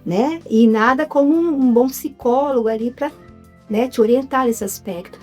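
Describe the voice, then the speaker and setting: Lively Brazilian woman in her fifties. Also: on the high side, normal speed, faint music behind. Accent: Brazilian Portuguese. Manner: lively, addresses the audience as "gente". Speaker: interview guest, actress. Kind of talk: casual interview chat.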